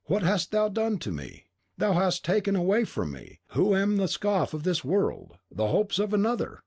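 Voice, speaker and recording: A man's voice: high voice, huge pitch swings, normal speed, a little mellow, breathy edge, pitched above the performer's own voice, heavily acted. A man voicing a bitter, scornful young male robber who sneers and accuses in an audiobook reading. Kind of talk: audiobook dialogue.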